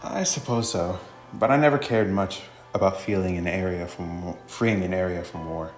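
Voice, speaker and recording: A man plays a grave, brooding male protector from a war-torn game story. The voice is low and formal, with room echo around it. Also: moderate pace, faint music behind, close to an everyday voice. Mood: somber.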